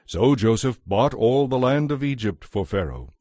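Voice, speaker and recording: A man narrating scripture from a script in a measured, solemn manner. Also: mid-range voice, animated, mellow, no echo, studio quality. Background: music.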